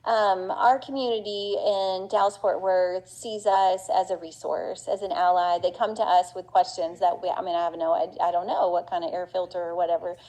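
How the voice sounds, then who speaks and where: Middle-aged woman talking shop at a conference, mellow warm voice, lots of ums and restarts, earnest. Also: lowish voice, steady tone, normal speed, few pauses, poor audio. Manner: chatty, many fillers and restarts; earnest and passionate. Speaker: business owner giving a talk. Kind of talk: conference talk.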